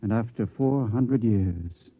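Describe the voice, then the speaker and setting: Shaken, insistent scientist, dry old radio sound. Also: male voice, fairly low pitch, slow, breathy edge, dry recording, phone-quality sound. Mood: grave, haunted. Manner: earnest, intense, pleading to be believed. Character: shaken, insistent male scientist, survivor of a dive. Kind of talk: 1950s radio drama acting.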